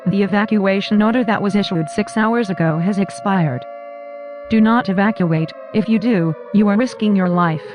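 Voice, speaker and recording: A woman's voice: medium pitch, moderate pace, muffled recording, noisy background. A woman's synthetic text-to-speech voice reading scripted emergency broadcast announcements in a formal, impersonal, clipped manner.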